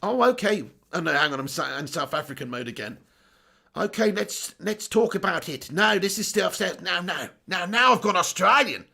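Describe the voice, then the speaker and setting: Brief: high, gravelly male stream host, excitable, reads comments aloud. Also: moderate pace. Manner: excitable, laughs often, casual swearing. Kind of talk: live stream chat reading viewer comments.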